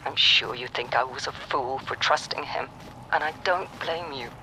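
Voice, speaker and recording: An actor playing a remorseful, protective guardian in a video game, speaking in a solemn, earnest confessional. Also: male voice, medium pitch, moderate pace, bright, forceful tone, slight background noise, rough recording. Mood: regretful. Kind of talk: dramatic confessional game monologue.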